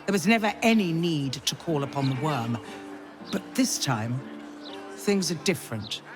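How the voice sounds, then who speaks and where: A woman playing a stern, loyal noblewoman counsellor in a fantasy video game, speaking in a solemn, formal, measured way. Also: deep voice, expressive. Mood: grave, earnest. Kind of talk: dramatic video game cutscene acting. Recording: music behind the voice.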